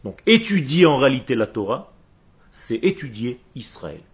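A man with a husky, mid-pitched voice lectures on religion. A Hebrew speaker, he teaches emphatically in short phrases, often posing rhetorical questions to his listeners. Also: sing-song, moderate pace. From Israel.

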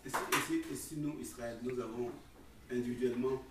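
A man in a class asking the teacher hesitant, halting questions. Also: mid-range voice, fairly even intonation, a bit bright, slight background noise. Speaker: student. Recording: distant voice off-mic.

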